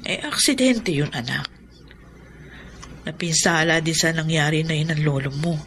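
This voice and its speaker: A woman's voice: deep voice, normal speed, background noise. A woman playing an anxious, sorrowful mother and wife in a Filipino radio drama, with a fretful, grave delivery. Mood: grave, explaining.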